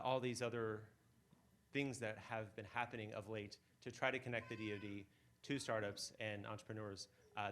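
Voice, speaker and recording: A man's voice: on the low side, fairly bright tone, a little hiss or hum. A man hosting an event, giving earnest opening remarks to an audience. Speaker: event host for a defense innovation office. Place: event space.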